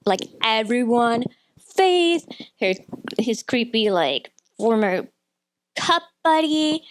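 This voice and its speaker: A woman's voice: a little high, big pitch swings, slow. A woman giving an informal, opinionated film critique in a rambling, conversational way with frequent asides. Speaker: video essayist, film critic. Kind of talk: informal film critique commentary, partly scripted.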